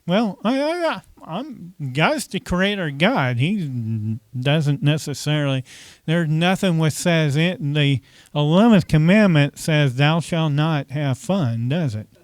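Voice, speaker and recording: A man's voice: fairly high pitch, lively intonation, slow, no echo. A man preaching a conversational sermon as pastor, often asking the congregation questions and saying "okay" between points.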